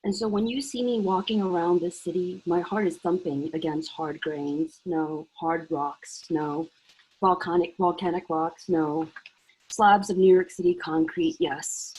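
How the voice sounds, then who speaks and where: Woman reader, low-ish voice, husky, emphatic intense delivery, video call. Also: fairly even intonation, unhurried, darkish tone, poor audio. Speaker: workshop participant. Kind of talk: reading her prose poem aloud.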